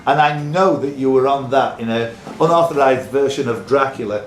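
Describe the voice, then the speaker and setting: A man's voice: medium pitch, normal speed, slight background noise. Man hosting a pub quiz, echoey room. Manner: chatty, teasing banter with contestants. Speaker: quiz host. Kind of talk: live pub quiz hosting, reading questions. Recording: roomy echo, music in background.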